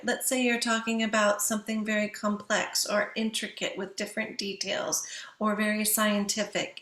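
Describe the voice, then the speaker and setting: A woman teacher giving a lecture to students, stressing key points emphatically and slowing down on them. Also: mid-range voice, fairly bright tone, reverberant. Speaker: English professor teaching writing.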